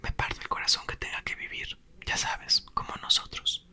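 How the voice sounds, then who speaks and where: A man's voice: moderate pace, natural voice. A man reading a storybook in a whisper, voicing a tender, grieving mother. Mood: sorrowful. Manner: whispered, soft.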